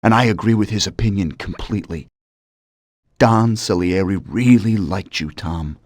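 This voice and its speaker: An actor playing a treacherous, mocking mobster in a dramatic video game cutscene. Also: male voice, low voice, moderate pace, no echo, studio quality. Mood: stern. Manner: mocking, theatrical delivery. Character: treacherous male mobster, mocking, cold, coolly businesslike. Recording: music behind the voice. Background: dramatic background music.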